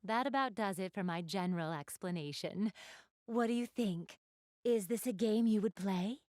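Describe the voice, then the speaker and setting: A woman's voice: medium pitch, normal speed, darkish tone, dry recording, clean studio sound, natural voice. A woman playing an elegant young goddess in an anime video game, speaking to the player with polite, genteel, formal diction and a keen gamer's refinement. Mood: enthusiastic, polite.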